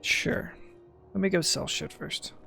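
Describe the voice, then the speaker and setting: A game streamer giving casual, playful commentary, muttering asides in a creaky voice. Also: mid-range voice, slow, pushed and bright, faint music behind.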